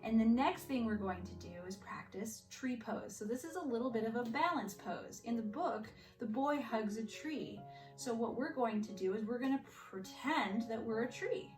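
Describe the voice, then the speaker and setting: A woman teaching yoga and mindfulness to children, giving gentle, reassuring step-by-step instructions. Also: a little high, lively intonation, normal speed. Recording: roomy echo, distant mic, music behind.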